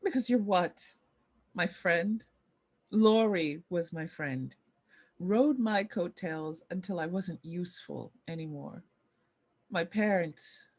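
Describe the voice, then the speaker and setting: Woman as a bitter, low-voiced former starlet. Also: takes it slowly, darkish tone. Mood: bitter, resigned. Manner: bitter, resigned. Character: despondent, bitter former child actress. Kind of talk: dramatic table read.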